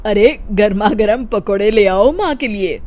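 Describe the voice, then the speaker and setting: A woman's voice: medium pitch, animated, a little slow, darkish tone, natural voice. A woman voicing a young husband, hesitant, worried and a little irritable, in a cartoon family story. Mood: matter-of-fact.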